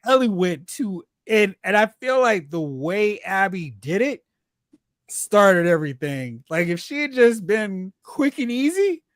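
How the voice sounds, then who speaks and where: A host chatting casually about pop culture, retelling reactions in slangy, animated asides. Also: mid-range voice, measured pace, no room sound, studio quality. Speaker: host of a film and TV discussion show.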